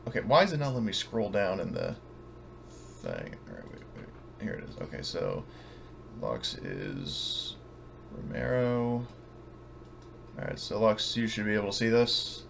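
A man with a fairly low, creaky voice acts as game master in a casual online session. He talks haltingly and hesitantly, with faint noise behind him. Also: wildly swooping pitch. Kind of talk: casual tabletop game hosting.

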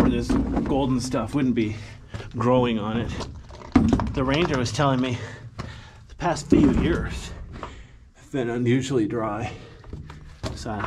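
A man thinking aloud as he films his way through a lava tube cave, rambling and correcting himself as he goes. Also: mid-range voice. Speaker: cave explorer filming a walkthrough. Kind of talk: casual spoken vlog narration. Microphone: handheld camera. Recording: loud noise, echoey cave.

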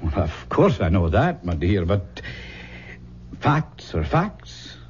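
A paternal male physician in a 1970s radio drama. His voice is mellow and husky, swinging widely in pitch as he gives measured, regretful, patronising replies. The recording is dull. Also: sing-song, a little hiss or hum, full character voice. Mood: grave, regretful. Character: grave, paternal male family doctor.

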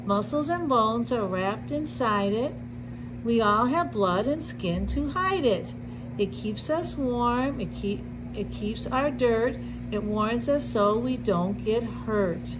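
A middle-aged woman reading a picture book aloud to young children, with deliberate simple phrasing and little asides. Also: a little high, lively intonation, noisy background. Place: indoor classroom with sink and counter.

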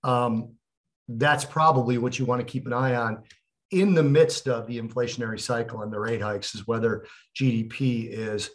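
A man's voice: mid-range voice, steady tone, normal speed. A man co-hosting a podcast over a video call, asking long, rambling, explanatory questions with fillers. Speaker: podcast co-host. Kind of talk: casual interview questions.

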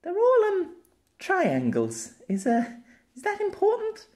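Guy doing a naive young woman for an audiobook, way high voice, earnest n curious, bit hesitant. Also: huge pitch swings, slow pace, a little mellow, heavily acted. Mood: curious, unsure.

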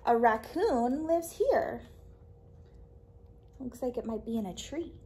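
A young woman with a high, mellow voice reads a children's picture book aloud. She goes slowly and expressively, stopping to ask little questions. There is faint noise behind her. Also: expressive. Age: young adult. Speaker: children's librarian reading aloud. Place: small indoor library room with a puppet theatre.

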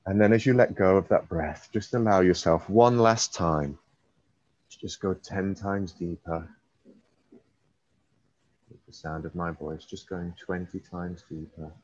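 A man leading a guided hypnosis session as a hypnotherapist, using repetitive, drawn-out and emphatic suggestive phrasing. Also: low-pitched, darkish tone. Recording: roomy, slightly dull recording.

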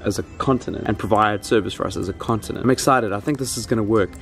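A man's voice: on the low side, quick pace, vocal fry, soft background music. A South African man in his thirties chatting casually and informally to camera as a vlogger, thinking aloud as he goes. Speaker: gaming vlogger and host. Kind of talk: casual vlog chat to camera.

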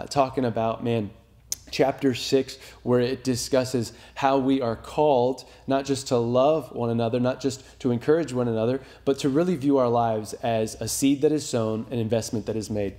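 Man, thirties, American accent, pastor, relaxed soft-edged tone, informal congregational update, earnest and reassuring. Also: medium pitch. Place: small indoor room with wood-plank walls.

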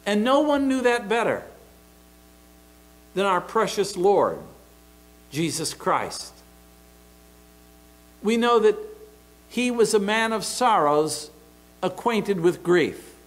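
A middle-aged American pastor preaching at a church pulpit, his voice high for a man. He takes his time, landing each phrase slowly and deliberately, with a faint electrical hum under the pulpit mic. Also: big pitch swings, rough recording. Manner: emphatic, deliberate preaching with open hand gestures. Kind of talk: sermon. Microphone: pulpit microphone.

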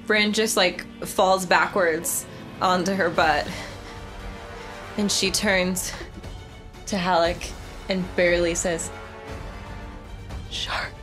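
A woman's voice: on the low side, steady tone, slow pace, pauses often. A woman chatting casually as a player at a tabletop role-playing game. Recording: music under the voice. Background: background score.

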